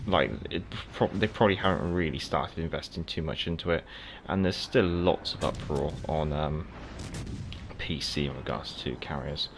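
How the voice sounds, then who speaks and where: A man's voice: low-pitched, gravelly, a little hiss or hum. A South African man whose first language is Afrikaans, hosting a game livestream and chatting casually with viewers while he plays. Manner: chatty, reads out and answers viewers by name. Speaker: gaming livestream host. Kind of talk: casual livestream commentary while playing, answering chat.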